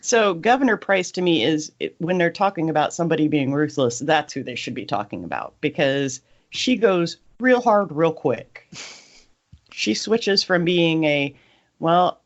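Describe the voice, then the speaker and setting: A woman talks casually as a podcast co-host, giving her take on books. Her voice sits at a low pitch for a woman, and the audio is slightly muffled. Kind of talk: casual book discussion.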